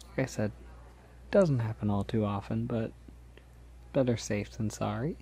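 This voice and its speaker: Gentle, mellow-voiced polite male vampire played by a man. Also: on the low side, vocal fry. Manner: hesitant, gentle, reassuring. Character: polite, considerate, decades-old male vampire posing as college student. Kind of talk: soft-spoken ASMR roleplay.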